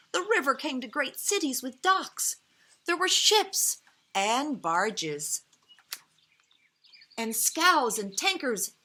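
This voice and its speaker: A woman with a bright, fairly high voice reads a children's story outdoors as birds chirp. Her delivery is slow and expressive, with dramatic pauses and stressed words. Now and then she speaks gently and directly to her young listeners. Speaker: storyteller reading a picture book to children. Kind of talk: expressive children's read-aloud.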